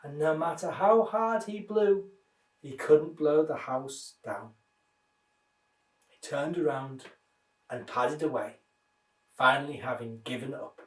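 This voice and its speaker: A man reading a children's story aloud in an expressive, dramatised way. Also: fairly high pitch, slow, long pauses. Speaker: minister reading children's stories. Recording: roomy echo, distant microphone.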